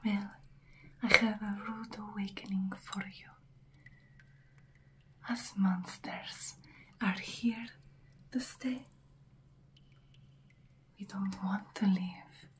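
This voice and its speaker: Centuries-old female vampire, played by a woman, ASMR roleplay: menacing, condescending, breathy whisper, extremely unhurried delivery. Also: mid-range voice, steady tone, slight background noise. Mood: menacing, scornful. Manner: slow, deliberate, taunting, condescending.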